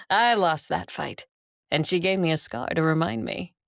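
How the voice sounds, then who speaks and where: A woman playing an ancient female vampire conqueror in an audio drama, poised and sensual, speaking in a husky, measured, intimate way. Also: fairly low pitch, big pitch swings, a bit bright, dry recording, studio quality, heavily acted. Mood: wistful, amused. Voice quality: husky, breathy, creaky. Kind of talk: audio drama acting with first-person narration.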